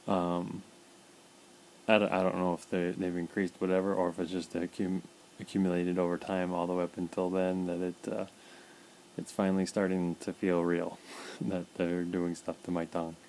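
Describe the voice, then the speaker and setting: A man with a very low, relaxed voice talks through his personal video diary in a halting way, often repeating words and filling pauses. His mouth is dry as he speaks, and there is faint background noise. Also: low-quality recording. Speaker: vlogger documenting his illness.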